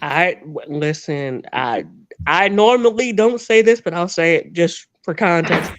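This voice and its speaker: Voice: mid-range voice, animated, a little slow, dry recording, lo-fi sound. Chatty guest with a half-Northern, half-Southern accent. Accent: half Northern, half Southern American. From New Jersey. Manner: rambling, chatty, laughs often. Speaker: interview guest. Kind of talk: casual storytelling chat.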